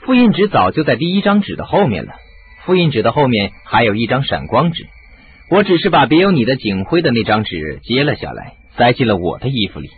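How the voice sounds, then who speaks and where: A man's voice: mid-range voice, very animated, brisk, phone-quality sound, a little hiss or hum. A man acting in a radio drama as a shrewd, confident sleuth, explaining things in an assured way. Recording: band-limited audio with music.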